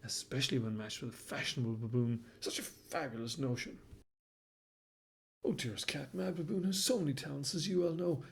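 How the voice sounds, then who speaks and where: A man's voice: mid-range voice, fairly quick, gravelly, breathy edge. A man voicing a flamboyant, fashion-mad male baboon who talks in pompous, theatrical rhymes. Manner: pompous, sing-song rhyming, fussy and dramatic. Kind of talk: storybook character voice.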